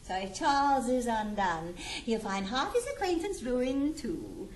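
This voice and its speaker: A woman's voice: fairly high pitch, big pitch swings, unhurried. An actress playing a gossipy, falsely sympathetic older society lady in a stage comedy, English, gushing and affected. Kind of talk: theatrical Restoration-style stage comedy acting. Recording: roomy 1960s studio recording with hiss.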